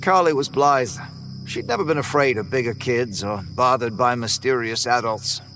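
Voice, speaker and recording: A man narrating a first-person horror story in a relaxed, folksy way, over faint music. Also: mid-range voice, normal speed.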